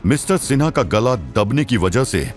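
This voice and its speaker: A man's voice: medium pitch, expressive, moderate pace. A man narrating a scripted audio story in a dramatic style. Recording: music behind the narration.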